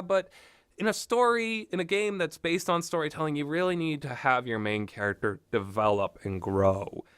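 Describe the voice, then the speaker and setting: A man giving informal, rambling opinionated commentary as a game reviewer, haltingly and often repeating himself mid-sentence. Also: mid-range voice, a bit bright, no room sound, clean studio sound.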